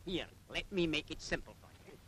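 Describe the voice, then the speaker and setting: A man's voice: on the high side, animated, fairly quick, a bit bright, slight background noise. An actor playing a knowing Scottish man who lectures didactically in a theatrical 1940s public information film style. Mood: patiently explaining.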